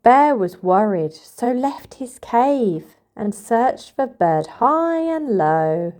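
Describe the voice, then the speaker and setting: A woman reading a picture book aloud to toddlers in an expressive, sing-song storytelling manner. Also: fairly high pitch, slow. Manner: expressive, sing-song, addressing young children.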